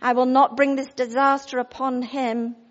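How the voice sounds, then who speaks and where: A woman's voice: high voice, level tone, normal speed, dull audio, natural voice. A woman voicing God in a storybook, speaking solemnly and sternly. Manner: solemn, pronouncing.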